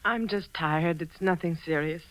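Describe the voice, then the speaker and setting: An actress playing a frightened, evasive wife with an anxious, faltering manner in a 1940s radio suspense drama. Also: female voice, on the low side, a little slow, close to an everyday voice. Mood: subdued. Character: frightened, evasive, nervous wife hiding a secret. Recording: muffled vintage radio recording.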